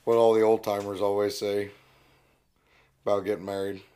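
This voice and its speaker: A young man co-hosting a casual podcast, hoarse from losing his voice, easygoing and agreeable. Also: fairly low pitch, level tone, normal speed, mellow, a little hiss or hum. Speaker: podcast co-host. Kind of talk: casual chat.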